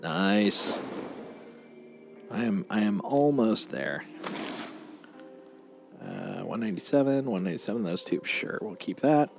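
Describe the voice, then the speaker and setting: A man's voice: lowish voice, very animated, unhurried, creaky, long pauses, music in the background. A man chatting casually and playfully with friends as he streams a game live. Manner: playful, banters and mock-pleads. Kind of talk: casual gameplay chat with friends.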